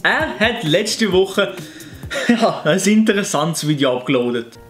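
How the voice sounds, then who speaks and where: A man's voice: high voice, big pitch swings, measured pace, soft background music. A young man with a Fribourg Swiss German accent, hosting a comedy video and mocking other YouTubers in a sarcastic tone. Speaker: YouTuber host. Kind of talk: satirical commentary.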